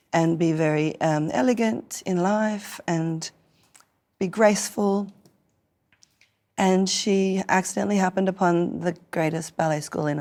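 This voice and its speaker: An Australian woman from Newcastle, hoarse and croaky after singing sick the night before, telling stories playfully in a casual interview. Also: on the low side, a little mellow. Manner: playful, theatrical storyteller with frequent laughs. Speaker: guest performer.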